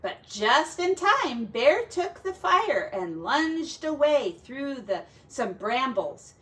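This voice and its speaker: Slow, animated woman reading a children's storybook. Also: high-pitched, a little hiss or hum. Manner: dramatic, deliberate, with quiet asides to young listeners. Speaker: teacher reading a storybook aloud. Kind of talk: expressive read-aloud of a children's folk tale.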